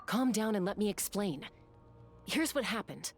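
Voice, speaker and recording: A woman playing a calm, level-headed young warrior in a video game, steady and deliberate. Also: mid-range voice, faint music behind. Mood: calm, watchful.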